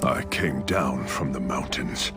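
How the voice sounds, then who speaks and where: A gravelly grim Viking warrior. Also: male voice, slow, heavily acted. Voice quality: deep gravelly voice, curt blunt phrasing. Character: grim weathered male Viking warrior, blunt. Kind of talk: video game cutscene acting. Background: loud score music.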